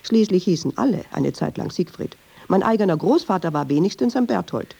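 A chatty, sardonic woman telling a story in a radio play. Her voice is husky and creaky, with lively swings in pitch. She digresses freely in colloquial speech and is quick to take offence. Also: exaggerated voice. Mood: wry, chatty. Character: talkative, wry woman storyteller, easily offended.

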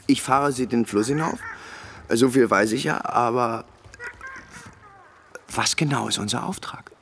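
A man's voice: medium pitch, a little slow, vocal fry, fairly bright tone, a little hiss or hum. A man in his thirties playing an awkward, insecure soldier in a radio drama, hesitant and stammering. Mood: tentative questioning. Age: 30s. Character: awkward, insecure young soldier, boat driver, aged thirty-one.

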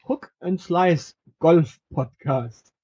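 Husky, wry podcast host. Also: male voice, fairly high pitch, dark tone, muffled recording. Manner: wry, halting, many fillers and restarts. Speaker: podcast co-host and game master. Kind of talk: casual podcast chat.

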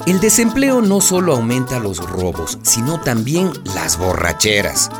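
A man's voice: mid-range voice, wildly swooping pitch, a little slow, over music. An actor playing a friendly neighborhood man who narrates a radio drama in a chatty storytelling manner.

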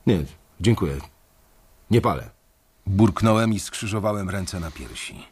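A man's voice: deep voice, expressive, unhurried, darkish tone, slight background noise. A young man narrating in the first person as a wary bank analyst, speaking in a restrained way in a radio drama. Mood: defiant.

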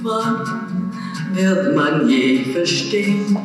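A woman singing a melancholic folk song in a slow, lingering way. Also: lowish voice, expressive. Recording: echoey distant hall, loud guitar music.

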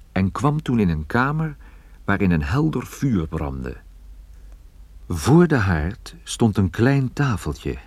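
Private detective narrator, deep voice, measured storytelling, radio play, dry studio sound. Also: male voice, a little mellow, no echo, exaggerated voice. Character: male private detective recounting his case, cool and observant.

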